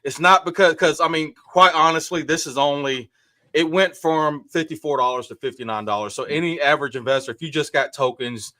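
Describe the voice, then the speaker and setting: A man talking loudly and excitedly in a casual online group chat, rambling and restarting his sentences, with his desk mic a couple of feet from his face. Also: fairly high pitch, fairly quick, no echo. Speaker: crypto trader guest. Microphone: desk mic about two feet from face.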